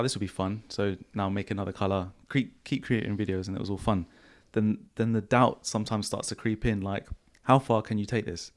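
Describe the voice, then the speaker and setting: Man, croaky, lowish voice, casual podcast host, repeats words. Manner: relaxed, repeats himself, slangy. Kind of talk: casual podcast chat answering viewer questions. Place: home studio.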